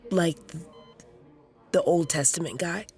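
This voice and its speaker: An actress playing a troubled, sheepish and wry young woman, stammering and halting, in a naturalistic audio drama. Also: lowish voice, darkish tone, soft background music, natural voice. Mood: hesitant.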